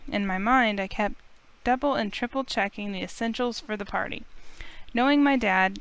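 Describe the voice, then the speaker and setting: Smooth-voiced woman reading story narration. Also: mid-range voice, a bit bright, rough recording. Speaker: streamer. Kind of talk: reading visual novel narration aloud.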